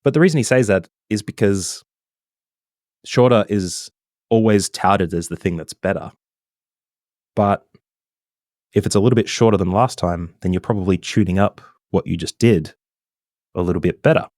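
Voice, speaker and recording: Podcast guest: man, mild Australian accent, very low voice, dry close sound, easygoing and rambling. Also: normal speed, long pauses, no room sound. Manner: relaxed and chatty, with many ums and self-corrections. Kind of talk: casual interview chat.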